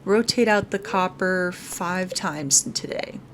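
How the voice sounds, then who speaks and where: A woman's voice: fairly low pitch, slow pace, background noise. A woman giving casual let's play commentary as the host of a gaming video. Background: music.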